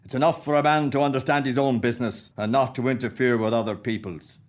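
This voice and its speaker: An actor with an Irish accent playing a grumpy, miserly old moneylender in a radio play, gruff and dismissive. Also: male voice, medium pitch, steady tone, quick pace, lightly acted. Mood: cold.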